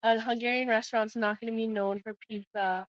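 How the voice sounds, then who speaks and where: A woman's voice: fairly high pitch, steady tone, a little fast, dry recording, highs cut off. A woman with an American accent, co-hosting a travel vlog and describing details in plain, casual chat. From United States. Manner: plain-spoken, listing details.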